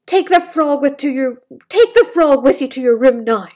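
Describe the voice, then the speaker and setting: A woman's voice: high-pitched, lively intonation, normal speed, darkish tone. Stern storybook king, voiced by a woman. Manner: firm, admonishing. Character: stern older king, a principled father.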